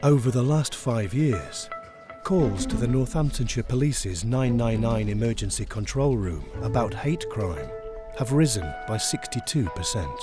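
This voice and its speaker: A man giving measured, scripted documentary narration. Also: mid-range voice, expressive, over music.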